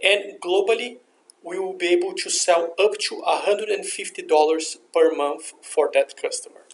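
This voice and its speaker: A man narrating a software tutorial as an instructor, explaining methodically step by step. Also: sits high, normal speed, a little hiss or hum. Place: voice-over for a screen recording.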